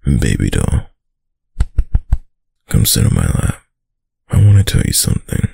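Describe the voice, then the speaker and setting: A man playing a dominant, doting yet menacing mafia heir boyfriend in a roleplay ASMR audio drama, with a deep, husky, gravelly voice and a smug tough-guy drawl. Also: huge pitch swings, measured pace, warm tone, dry recording, studio quality, heavily acted. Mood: calm, confiding.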